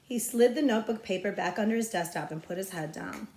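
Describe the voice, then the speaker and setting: A woman with a mid-pitched voice reads a children's story aloud. Her narration is expressive, in the manner of a librarian's story time. The recording has a clear room echo. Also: fast. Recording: echoey, roomy sound.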